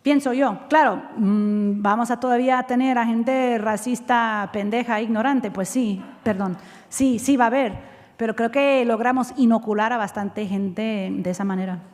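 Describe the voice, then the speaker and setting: A woman answers questions from an audience after her talk, candid and quick with a joke. She slips between Spanish and English mid-sentence, her voice sitting fairly high. The sound carries a long reverb from the lecture hall. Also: a little mellow. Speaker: guest speaker.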